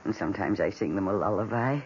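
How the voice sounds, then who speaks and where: A woman's voice: extremely low pitch, fast. An actress playing a gushing, eccentric housewife in her thirties, speaking hesitantly in a radio drama. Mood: hesitant, confiding. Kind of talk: 1970s radio drama acting. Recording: dull, noisy old radio broadcast.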